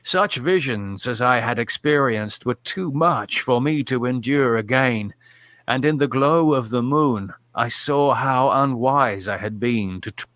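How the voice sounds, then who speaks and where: A man's voice: mid-range voice, a little slow, a bit bright, no room sound. Solemn man narrating horror. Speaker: audiobook narrator.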